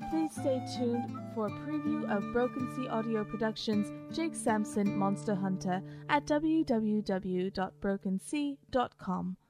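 A woman reads scripted credits in an announcer role. Her voice sits slightly high, and clear guitar music plays behind her. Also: unhurried, hardly any pauses.

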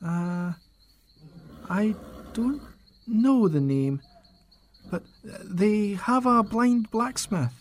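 An earnest young lord, acted by a man, in an audio drama. His voice sits high for a man and his speech is polite and a little formal. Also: expressive, drawn-out words, long pauses, heavily acted. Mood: uneasy. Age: young adult. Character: earnest, worried young nobleman riding out alone.

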